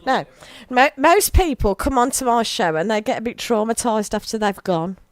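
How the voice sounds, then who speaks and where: An English woman hosting a local radio show, chatting casually and giggling, with a slightly gravelly voice tonight. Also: a little high, animated, normal speed, dry recording. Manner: chatty, giggly, talks over music. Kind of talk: casual chat. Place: small local radio studio.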